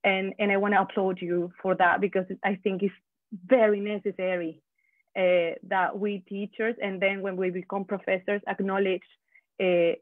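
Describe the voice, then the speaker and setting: A woman's voice: medium pitch, dry recording. A woman commenting on a video call, earnest, with frequent fillers and restarts. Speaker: webinar attendee. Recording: dry, phone-like video call audio.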